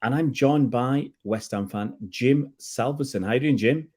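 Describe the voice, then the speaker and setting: A man's voice: mid-range voice, measured pace, a little mellow. A middle-aged man from England hosting a casual football chat, chatty and prone to repeating words as he goes. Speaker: fan channel host.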